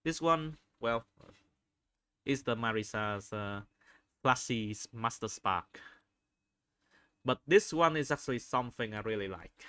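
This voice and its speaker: A man giving unscripted video commentary, halting often as he searches for words and repeats phrases. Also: medium pitch, expressive, pushed and bright, clean tone, no room sound. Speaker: video host reviewing game screenshots.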